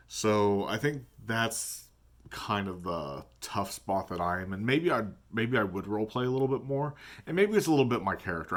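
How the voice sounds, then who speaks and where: Guy with a real deep low voice rambling thoughtfully about his game group, kinda hesitant. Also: fairly bright tone. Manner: hesitant, thinking aloud. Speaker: tabletop game player. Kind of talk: casual reflective vlog talk.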